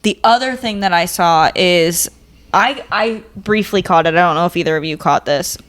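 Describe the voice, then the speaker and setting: A woman's voice: on the low side, moderate pace, a bit bright. A woman co-hosting a podcast, bantering casually in a blunt, informal, slangy way. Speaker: podcast co-host.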